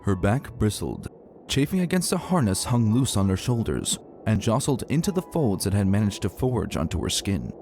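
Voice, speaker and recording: A man narrating a dramatic story in an expressive, emphatic and colloquial way. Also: on the low side, normal speed. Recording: soft music behind narration.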